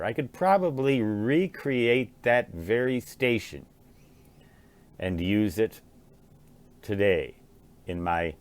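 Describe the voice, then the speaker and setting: Slow-talking older American man, low voice. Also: darkish tone. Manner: musing, halting, often repeating words. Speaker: vlogger, writer and ham radio hobbyist. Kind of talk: reflective rambling monologue.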